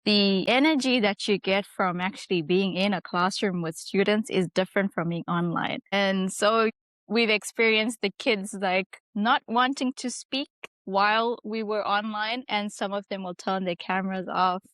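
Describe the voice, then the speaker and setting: A young South African woman speaking in a casual interview, chatty and relaxed, leaning on fillers as she talks. Her voice sits at a middle pitch and the sound is dry and close, with no room echo. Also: normal speed. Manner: chatty, laughs easily, many "like" fillers. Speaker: guest, English teacher.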